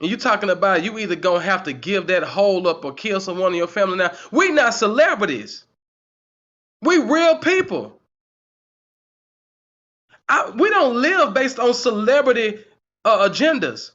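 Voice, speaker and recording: A man's voice: very high voice, sharp tone, dull audio. A man in his forties hosting a heated commentary stream, repeating himself and firing rhetorical questions at the chat. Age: 40s. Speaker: channel host. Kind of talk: livestream commentary, reading the chat.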